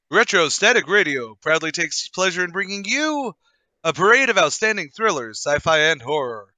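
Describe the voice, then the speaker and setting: A man's voice: high voice, very animated, moderate pace, bright, forceful tone, no echo. A man hosting and narrating an audio drama table read with theatrical, old-time announcer showmanship.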